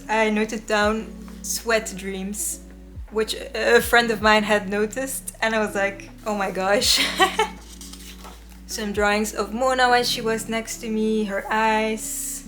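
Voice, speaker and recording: Young dutch-native woman, a bit high, chatty and self-mocking, soft music under it. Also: steady tone, slow pace. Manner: chatty, self-deprecating, frequent little laughs. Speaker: artist vlogger. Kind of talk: casual sketchbook tour voice-over.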